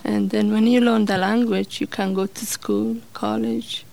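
A middle-aged woman, telling her life story in an interview in halting, simple English with fillers. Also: mid-range voice, fairly even intonation, creaky, warm tone. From Afghanistan.

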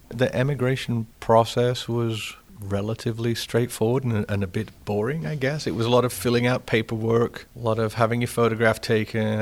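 An Englishman with an English accent looks back on his life in a low, level voice that barely rises or falls. His telling is measured and reflective, an unhurried personal account. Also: gravelly, darkish tone. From England. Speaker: interviewee. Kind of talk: storytelling.

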